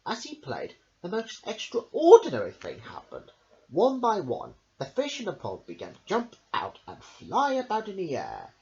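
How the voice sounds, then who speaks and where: A man reads a children's storybook aloud, his voice leaping up and down in a hugely animated sing-song. He sits at a middling pitch and drops in casual asides, and the sound comes through a dull lo-fi home recording. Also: normal speed. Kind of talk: reading a children's picture book aloud.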